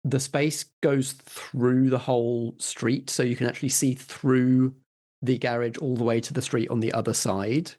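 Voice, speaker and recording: A man's voice: medium pitch, level tone, a little slow, warm tone. A man running a tabletop roleplaying game, narrating scenes and putting questions to players. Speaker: game master.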